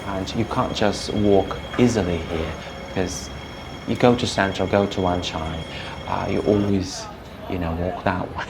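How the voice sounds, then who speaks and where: A man from Russia, an English teacher talking earnestly in an interview, pausing often as he thinks. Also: sits low, steady tone, gravelly. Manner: earnest, chatty, with many pauses. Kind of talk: documentary interview talk. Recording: background music and ambient noise.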